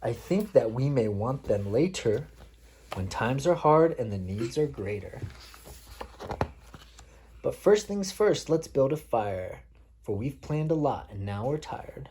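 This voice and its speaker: A man reading picture books aloud as a father at bedtime, playfully pausing to chat with his child. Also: mid-range voice, animated, mellow, slight background noise. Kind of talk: read-aloud picture book storytelling mixed with casual chat.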